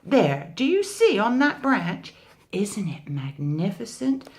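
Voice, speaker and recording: A woman's voice: on the low side, lively intonation, measured pace. Woman doing a clever, gabbling little mouse deer. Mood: coaxing. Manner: gabbling, clever, talks his way out. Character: small, quick-witted male mouse deer. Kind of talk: storybook read-aloud.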